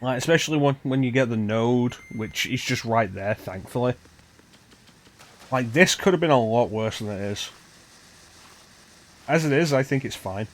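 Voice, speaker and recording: A man's voice: medium pitch, moderate pace, pauses often. A man giving casual commentary while streaming a video game. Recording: game music playing behind. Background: video game music.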